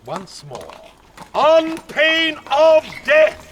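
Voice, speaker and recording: An actor playing an angry, commanding prince, declaiming imperiously in a Shakespearean audio drama. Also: male voice, very high-pitched, huge pitch swings, drawn-out words, a bit bright, a little hiss or hum, exaggerated voice. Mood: stern command. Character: enraged, commanding prince rebuking his brawling subjects.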